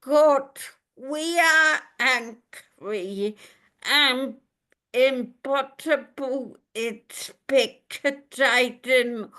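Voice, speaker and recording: A woman reading a poem of lament in short, halting, broken phrases with long pauses. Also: on the high side, pushed and bright. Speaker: poet reading own work. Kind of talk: poetry reading, lament addressed to God.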